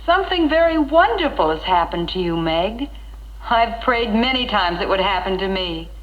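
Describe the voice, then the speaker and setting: An actress playing an earnest, devout, supportive friend in a 1960s educational film, speaking in a heartfelt, gently persuasive way. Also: female voice, medium pitch, expressive, moderate pace, close to an everyday voice. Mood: heartfelt, pleading. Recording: dull 1960s film soundtrack with music.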